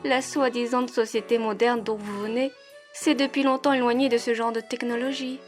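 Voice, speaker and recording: Woman as a high-voiced gracious duchess. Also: steady tone, faint music behind, close to an everyday voice. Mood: explanatory, reassuring. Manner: refined, polite, airy, drawn-out. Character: gracious, effusive aristocratic duchess in steampunk manor. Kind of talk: French audio roleplay acting.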